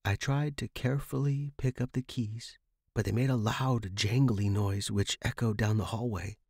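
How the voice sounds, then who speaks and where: A man's voice: lowish voice, fairly even intonation, normal speed, a little mellow, breathy edge, dry recording, clean studio sound. A man reading scripted first-person suspense fiction as a podcast narrator.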